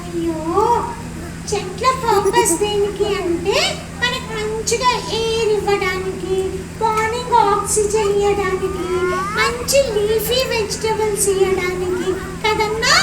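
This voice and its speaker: ఒక మహిళ చేసే చిలిపి చిన్న పిల్ల బొమ్మ, చాలా సన్నని గొంతు. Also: female voice, very high-pitched, unhurried. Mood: playful. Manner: teasing, playful, sing-song. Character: cheeky, chatty little kid puppet. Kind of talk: live puppet show. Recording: echoey, distant, noisy hall.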